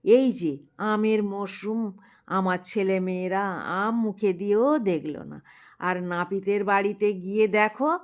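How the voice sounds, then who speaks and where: Storybook role: hard-up male town doctor read by a woman, slow, plaintive, colloquial. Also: medium pitch, lightly acted. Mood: aggrieved. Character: struggling male town doctors, aggrieved, broke, complaining to colleagues.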